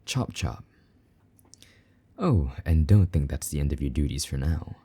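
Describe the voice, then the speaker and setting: Casting: male actor as a smug, possessive demon boyfriend for intimate audio roleplay; bottomless low pitch, husky edge, teasing and doting delivery. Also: animated, a little slow, mellow, heavily acted. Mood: commanding, playful. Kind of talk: intimate scripted roleplay monologue.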